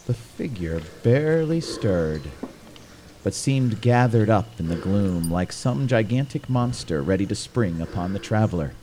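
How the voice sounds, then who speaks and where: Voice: medium pitch, sing-song, a little hiss or hum, natural voice. A performer playing a knowing storyteller, narrating a spooky old tale in formal, literary, theatrical language. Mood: ominous, hushed. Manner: dramatic, measured, formal.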